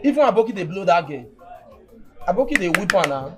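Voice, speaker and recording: A man with a Nigerian Pidgin accent playing a gossiping young male friend who talks in an animated way. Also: high voice, quick pace, faint music behind. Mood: gossipy.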